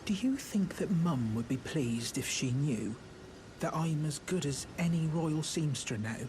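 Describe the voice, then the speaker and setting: A fantasy game creature, timid and kindly, speaks over clear ambient noise. His gentle, wistful words come slowly, with a very animated rise and fall. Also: on the high side. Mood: wistful, self-doubting. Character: timid, kindly demi-human creature who sews. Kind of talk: fantasy video game character acting.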